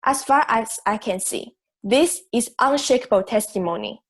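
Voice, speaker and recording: A young woman acting a logical, self-assured juror in an audio drama. The voice is fairly high and the delivery measured and articulate, open to reason. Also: husky. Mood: firm, certain. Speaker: student actor in school drama class.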